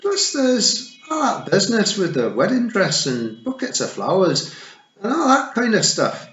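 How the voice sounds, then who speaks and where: A man voicing a tiny, fierce male pixie chief with a shrill, blustering Scottish accent. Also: wildly swooping pitch, a little slow, fairly bright tone, exaggerated voice. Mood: scheming glee. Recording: echoey, slightly dull.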